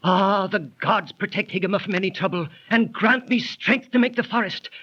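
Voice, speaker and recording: An actor playing an earnest, anxious wounded Teuton warrior, declaiming archaic lines in a 1930s radio drama. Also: male voice, sits high, normal speed, pushed and bright, a little hiss or hum. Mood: pained, fearful. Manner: declamatory, archaic stage speech. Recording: dull, lo-fi 1930s radio recording.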